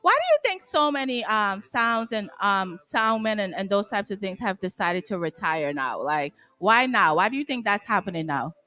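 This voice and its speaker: A woman's voice: mid-range voice, very animated, moderate pace, few pauses, pushed and bright. A woman from Guyana hosting a live stream interview, chatty and giggly, with many "like" fillers. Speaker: host, interviewer.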